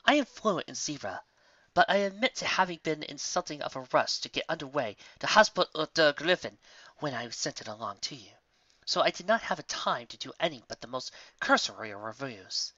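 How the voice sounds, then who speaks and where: A man's voice: a little high, expressive, bright, forceful tone, no echo, lo-fi sound. Man playing a formal, stern regal pony mare. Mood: stern but forgiving. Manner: formal, measured. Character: stern, regal female pony mentor dictating a letter.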